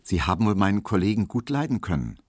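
A man voicing a timid young barber, meek and hesitant, who stumbles over his words. His voice is quiet and close, recorded dry on an old radio reading. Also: fairly low pitch, very animated, fast, breathy edge, no echo.

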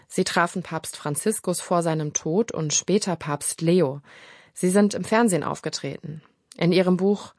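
A woman narrating a radio news feature in a measured, scripted reading. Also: lowish voice, darkish tone, dry recording, clean studio sound. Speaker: radio reporter.

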